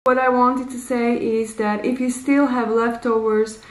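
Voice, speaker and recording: A woman in her thirties giving deliberate, simply worded advice to camera in slightly non-native English, in a casual instructional vlog recorded in a small bare room. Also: a little high, little pitch movement, reverberant, over music. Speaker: vlogger, host.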